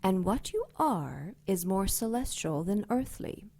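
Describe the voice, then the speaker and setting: An ancient female dark angel, imperious and sardonic. Her delivery is deliberate and theatrical, with pitch that rises and dips freely. She taunts and condescends as if everyone else were beneath her, in audio drama style. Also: very animated. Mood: solemn.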